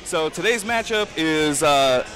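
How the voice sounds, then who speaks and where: A man's voice: fairly high pitch, lively intonation, a little slow, over music. A man giving play-by-play commentary for a college volleyball match, joking and stumbling over his words as he corrects himself. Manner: stumbling, self-correcting banter.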